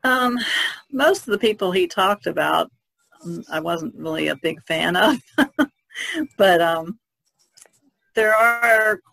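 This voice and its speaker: A woman's voice: medium pitch, lively intonation, slow pace, gravelly, pauses often, fairly bright tone, poor audio. An American woman chatting casually as a panelist on a podcast.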